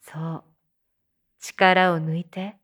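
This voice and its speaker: A woman playing a soothing teacher who leads a guided meditation in a voice drama, counting and instructing in gentle, measured phrases. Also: lowish voice, level tone. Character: soothing female teacher leading meditation amid zombie threat.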